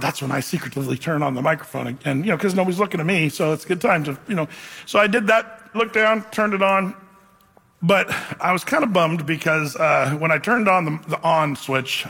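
Pastor, middle-aged man, bright husky voice, rambling storyteller, reverberant hall. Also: on the high side. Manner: casual, rambling, fillers like "man" and "you know". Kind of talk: sermon with storytelling. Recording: long hall reverb, faint music.

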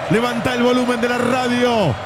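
A man's voice: very high voice, steady tone, moderate pace. A man with an Argentine accent doing live football commentary on radio in a projected, declamatory delivery. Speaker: lead radio match commentator. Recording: very loud stadium crowd noise.

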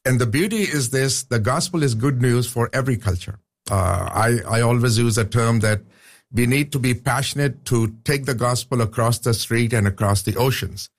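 A man's voice: mid-range voice, normal speed. A man from Pakistan, a professor talking earnestly as a radio studio guest, often restarting his sentences. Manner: earnest, with frequent restarts and "uh" fillers. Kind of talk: casual radio interview.

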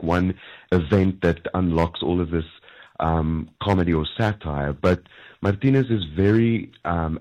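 A man's voice: deep voice, a little mellow, muffled recording. A man in his thirties, an Afrikaans speaker with a South African English accent, answering interview questions thoughtfully with many ums. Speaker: actor guest.

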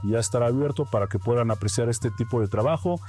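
A man with a Mexican Spanish accent, a guest painter speaking calmly in a radio interview, with some fillers. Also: medium pitch, soft background music. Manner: calm, unhurried, many fillers.

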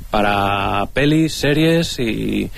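A man's voice: lowish voice, lively intonation, extremely slow, vocal fry, slight background noise. A man from Bilbao, an audience contestant, giving casual answers to a host. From Bilbao, Spain. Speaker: audience contestant, special effects artist.

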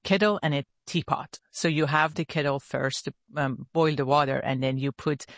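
A woman's voice: deep voice, fairly even intonation, normal speed, dry recording, studio quality. A woman from Iran, a university research leader answering interview questions and explaining things carefully. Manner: explains carefully, with short affirmative replies.

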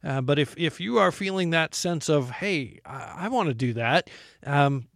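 Bright-voiced American male radio host. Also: a little high, animated, measured pace, creaky, no room sound, studio quality. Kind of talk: conversational radio interview. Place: radio studio.

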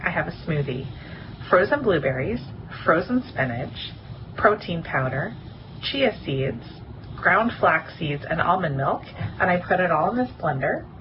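Brief: upbeat woman from New York City, low voice, noisy home clip. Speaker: listener contributor. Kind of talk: casual recorded message. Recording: lo-fi home recording with background noise.

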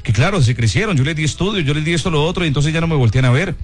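Fast fervent radio preacher. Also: male voice, animated. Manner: fervent, preachy, swings between high acted voices and low solemn tones. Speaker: radio presenter giving a morning message. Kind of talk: spoken radio sermon with acted quotes. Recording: radio broadcast with music underneath. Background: background music.

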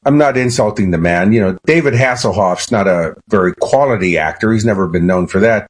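A man with a Chicago accent, a guest on a radio interview, telling stories in an animated, conversational way full of "you know" and restarts. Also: lowish voice, slightly rough. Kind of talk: casual interview.

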